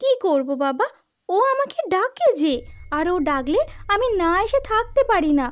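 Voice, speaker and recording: A woman voicing an innocent, dreamy little girl in an animated ghost story, with a childlike, pleading manner. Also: extremely high pitch, unhurried, soft background music, full character voice. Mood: entranced, eager.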